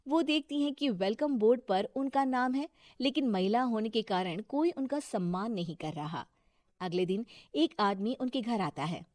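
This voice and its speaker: A woman's voice: fairly high pitch, lively intonation, normal speed, darkish tone, dry recording, clean studio sound. A woman giving scripted narration as the storyteller of a Hindi cartoon moral tale.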